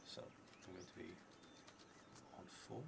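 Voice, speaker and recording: A man in his thirties with a creaky voice, thinking aloud and reading as he plays a solo tabletop game, halting and trailing into murmurs. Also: low voice, steady tone, heavy background noise. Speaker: solo tabletop roleplayer streaming.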